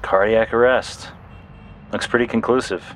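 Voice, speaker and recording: An actor playing a matter-of-fact police detective in an audio drama, clipped and businesslike. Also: male voice, lowish voice, steady tone, normal speed, slight background noise, natural voice.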